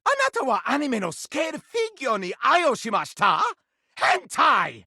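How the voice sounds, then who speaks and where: Melodramatic outraged candelabra game character, super pompous olde speech, sharp bright voice, recorded dry and close. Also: male voice, high voice, no echo, exaggerated voice. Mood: scandalized outrage. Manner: pompous, melodramatic, archaic flowery diction. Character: flamboyant scandal-mongering living candelabra, male, theatrically outraged. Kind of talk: theatrical video game character acting.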